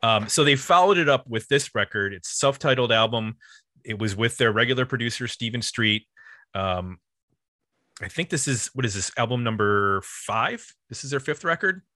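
A man's voice: medium pitch, animated, moderate pace, pushed and bright, no room sound, clean studio sound. A man hosting a music podcast, casually explaining the history of an album in an informal, loosely structured way.

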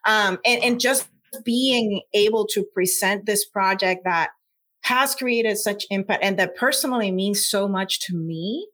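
A woman's voice: medium pitch, unhurried, fairly bright tone. Woman, Puerto Rican accent, earnest, filler-heavy, scientist guest. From Puerto Rico. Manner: earnest, frequent "like" and "you know" fillers. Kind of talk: conversational interview.

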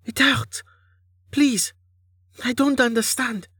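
A man voicing a frightened, wounded young woman mage in an audiobook, with a strained, pleading, halting small voice. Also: high-pitched, fairly bright tone, no room sound, clean studio sound, voice pushed up, exaggerated voice. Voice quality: croaky, strained. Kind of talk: audiobook character acting.

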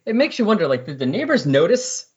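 A man's voice: sits high, big pitch swings, rapid-fire, breathy edge, dull audio. A man talking casually as a podcast co-host in a rambling, conversational way about comics.

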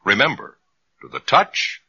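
Very animated, gritty-voiced vintage radio adman. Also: medium pitch, measured pace, creaky, husky. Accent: American. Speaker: commercial announcer. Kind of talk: 1950s radio cigarette advertisement. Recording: dull old radio broadcast recording.

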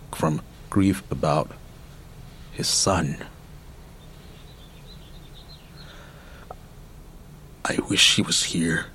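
An actor playing a weary, tender middle-aged uncle haunted by prison in a radio play, confiding haltingly and wistfully. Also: male voice, deep voice, a little hiss or hum. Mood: sorrowful, afraid.

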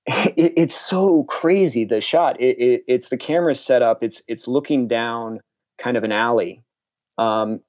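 A man's voice: mid-range voice, dry recording. A young man from Connecticut, a filmmaker being interviewed, talking casually and enthusiastically with frequent restarts. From Connecticut, USA. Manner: rambling, restarts often, enthusiastic. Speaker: filmmaker guest.

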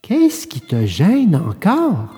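A woman playing a patient, motherly storybook fairy, coaxing and admonishing in a soft, measured way with reverb around her. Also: on the low side, huge pitch swings, husky, faint music behind, full character voice. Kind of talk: audio storybook acting. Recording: long hall-like reverb.

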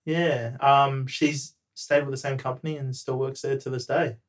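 A man's voice: medium pitch, moderate pace. A young man from New Zealand, a podcast guest, chatting casually with many ums and easy laughs. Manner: relaxed, hesitant, many ums, laughs easily.

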